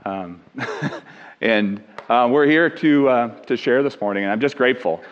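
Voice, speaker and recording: An older or middle-aged American man with a loud voice, giving an informal sermon as a lay preacher in a plain-spoken, earnest, conversational way. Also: mid-range voice, unhurried. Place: large echoing church hall. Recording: dull muffled audio, long hall reverb.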